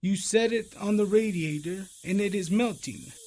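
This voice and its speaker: An actor playing an eccentric surrealist painter in a comic radio play, dreamy and grandiose, speaking in cryptic, theatrical pronouncements. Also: male voice, high voice, normal speed, darkish tone, a little hiss or hum, close to an everyday voice. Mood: rapturous.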